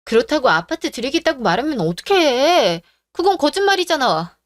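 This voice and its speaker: A woman's voice: high-pitched, very animated, moderate pace, no echo, studio quality. A woman doing a polite but firm young bride. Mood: upset. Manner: apologetic to elders, firm with fiancé. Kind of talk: radio drama acting.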